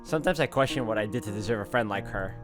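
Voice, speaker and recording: A man's voice: on the low side, fast, over music. A man playing a visual novel, reading its text aloud expressively with casual commentary. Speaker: video game let's player.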